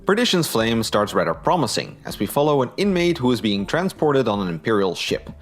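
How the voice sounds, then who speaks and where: A man's voice: mid-range voice, sing-song, a little fast, soft background music. A man giving a scripted review in an informal, opinionated way. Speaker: book and audio drama reviewer.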